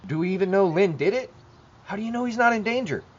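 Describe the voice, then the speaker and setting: A man's voice: high voice, lively intonation, fast. A man playing a probing, persistent suburbanite questioning neighbors in an audio drama. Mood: alarmed, confused. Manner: questioning, insistent. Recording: muffled, clearly noisy track.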